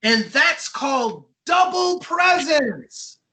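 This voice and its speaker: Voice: sits high, very animated, takes it slowly, sharp tone. An author reading a children's book aloud and quizzing the children, encouraging them, repeating their answers and checking in with "right?" and "okay". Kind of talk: interactive read-aloud with question and answer for children.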